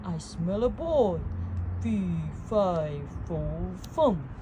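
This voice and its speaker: A woman voicing a big, gruff, hungry giant husband in a storybook, with booming, commanding delivery. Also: very animated, very slow, noisy background, exaggerated voice. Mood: suspicious, menacing.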